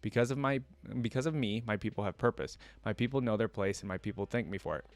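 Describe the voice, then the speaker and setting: Man acting out cruel king, low voice, declamatory, storybook reading, dry sound. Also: fast talker, no room sound, lightly acted. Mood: arrogant, defiant. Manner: imperious, declamatory. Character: cruel, arrogant king of a starving land.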